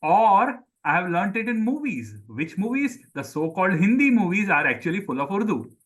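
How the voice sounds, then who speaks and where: Indian man teaching, fairly high-pitched voice. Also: very animated, moderate pace. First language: Sindhi. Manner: repeats words for pupils, calls on them by name. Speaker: Hindi teacher. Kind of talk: live online group lesson, questioning pupils and explaining.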